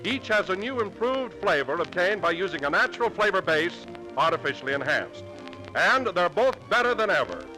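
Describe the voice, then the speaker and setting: A man's voice: sits high, very animated, moderate pace, sharp tone, soft background music, rough recording. A man reading a scripted 1940s radio advertisement as a polished, enthusiastic announcer. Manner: polished, enthusiastic sales delivery. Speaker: commercial announcer.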